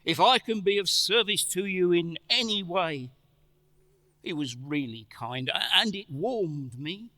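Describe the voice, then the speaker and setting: A man playing a gentle, grieving father in a radio play, halting and stammering as he holds back his sorrow. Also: high-pitched, lively intonation, no echo, full character voice. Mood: grateful, tender. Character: gentle grieving father, poor, tender, holding back tears. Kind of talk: theatrical radio play acting.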